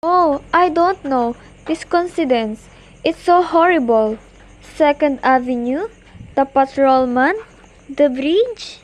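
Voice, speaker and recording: A woman's voice: sits high, lively intonation, takes it slowly, low-quality recording. A young woman acting an anxious, demanding bedridden wife in an amateur radio play, pleading insistently and dramatically. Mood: distressed. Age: teenager.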